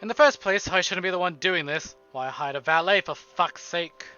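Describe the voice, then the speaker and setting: A man's voice: sits high, moderate pace, pushed and bright, clean tone, dull audio. A man live-streaming a visual novel, reading it aloud dramatically with casual, joking, sweary asides, over music. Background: game music.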